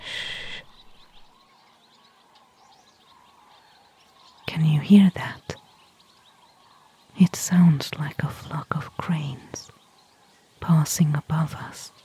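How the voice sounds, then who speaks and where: A woman playing a gentle, thoughtful elven ranger in an ASMR fantasy roleplay, speaking in a soft, breathy, intimate whisper. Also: low voice, heavily acted. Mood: hushed wonder. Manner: slow, hushed, intimate, unhurried. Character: gentle, thoughtful female elven ranger, kindly toward a human.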